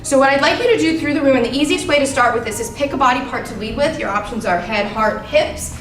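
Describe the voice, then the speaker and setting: A woman leading a rehearsal as a drama teacher, giving lively, direct instructions and laughing often. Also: high-pitched, quick pace, reverberant, recorded from a distance, faint music behind. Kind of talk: coaching actors in rehearsal. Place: rehearsal room.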